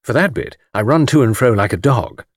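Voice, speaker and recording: Offhand, brisk gentleman tour guide, voiced by a male reader, highly animated pitch, close dry sound, storybook hero. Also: slightly rough, no room sound. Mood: playful. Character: breezy, flippant gentleman adventurer working as tour guide. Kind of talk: audiobook character voice.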